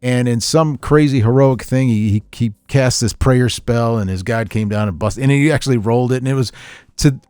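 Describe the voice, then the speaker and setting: A middle-aged man chatting casually on a podcast, telling stories in a rambling, animated way with repeats and chuckles. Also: medium pitch, moderate pace, clear, resonant tone, no room sound. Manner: animated storyteller, chuckles often, repeats himself. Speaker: podcast host, veteran tabletop gamer.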